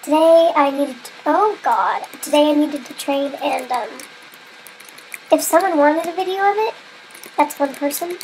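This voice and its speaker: A woman's voice: sits high, moderate pace, darkish tone, faint music behind, poor audio. A young woman giving casual, giggly commentary over her gameplay, her nose stuffed up as she comes down with a cold. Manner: chatty, giggly, self-mocking. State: stuffy nose, getting sick. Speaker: gamer.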